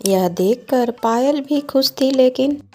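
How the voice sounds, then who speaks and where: A woman's voice: high-pitched, slow, a little mellow. A woman reading scripted storybook narration for a cartoon moral tale. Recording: music behind the voice. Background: background music.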